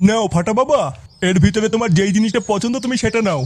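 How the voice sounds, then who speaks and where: A man's voice: high-pitched, fast talker, background noise, natural voice. A man voicing a foul-mouthed, jokey cartoon priest with a mock-ceremonial way of talking. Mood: officiating.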